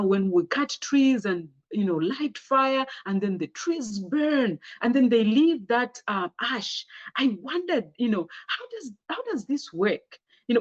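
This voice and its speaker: A woman's voice: mid-range voice, lo-fi sound. A woman with a Zambian accent giving an informal slide presentation, conversational and often putting rhetorical questions to her listeners. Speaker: speaker sharing her career story with girls.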